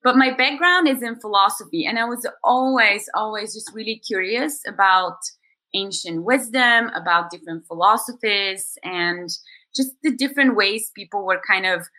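A bright, clear-toned woman in her thirties, originally from Bulgaria, speaks as a guest on a podcast interview. She tells her story in an unhurried, reflective way, circling back with fillers like "you know" and "kind of." Her voice sits at an ordinary pitch for a woman but rings with a forward brightness. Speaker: guest, executive coach.

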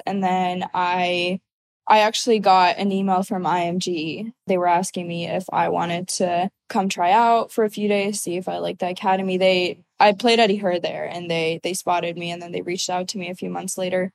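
A woman in her twenties from Ukraine, speaking in a slightly low, easy voice. She answers questions casually and loosely, with plenty of fillers. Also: moderate pace, low-quality recording. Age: 20s. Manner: relaxed, uses many fillers like "like" and "so yeah". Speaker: guest, college athlete.

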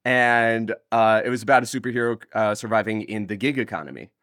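A man chatting casually as an interview guest, halting and correcting himself in an informal way. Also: fairly low pitch, a bit bright, dry recording, studio quality. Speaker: interview guest, audio drama creator.

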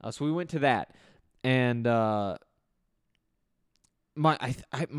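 A man's voice: medium pitch, takes it slowly, smooth, no echo, studio quality. A man hosting a sports podcast in a casual, rambling way, his voice still recovering after losing it. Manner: rambling, conversational. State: recovering from voice loss; allergy flare-up. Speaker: podcast host.

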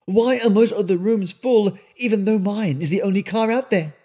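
A man's voice: high-pitched, moderate pace, warm tone, voice pushed up. A man reading aloud and voicing an anxious young woman stranded at a roadside inn, pressing with questions. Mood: anxious, demanding.

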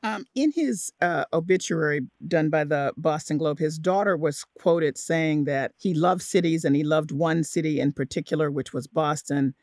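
Creaky-voiced woman hosting radio, close and dry. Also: sits low, moderate pace, hardly any pauses, darkish tone, no echo. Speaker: radio host.

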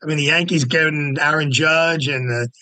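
A man's voice: on the high side, steady tone, moderate pace, pushed and bright, dry recording. A middle-aged man, a lawyer guesting on a talk podcast, speaking bluntly and emphatically. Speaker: defense lawyer and radio host, guest. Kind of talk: interview guest.

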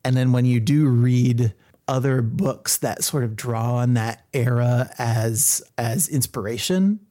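A man with a medium-pitched voice speaks in a casual book discussion. He is thoughtful and explanatory, building his point piece by piece as a podcast guest would. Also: slow pace, dark tone.

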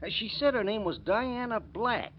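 A man's voice: high voice, big pitch swings, moderate pace. A man acting an apologetic, chatty building superintendent in 1940s radio style, folksy and defensive. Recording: muffled, noisy vintage 1940s radio recording.

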